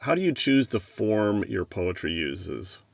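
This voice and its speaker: A man hosting a poetry podcast, asking a guest a prepared question in measured, admiring words. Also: on the low side, big pitch swings, gravelly, no room sound. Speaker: podcast host.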